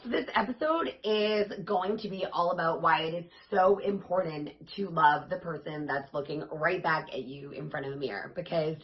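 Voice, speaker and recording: A woman fitness coach talking casually to her viewers, her voice raspy and hoarse, in an upbeat, chatty and encouraging way. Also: deep voice, moderate pace, hardly any pauses, muffled recording. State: hoarse, voice worn out. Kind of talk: casual vlog talk to camera.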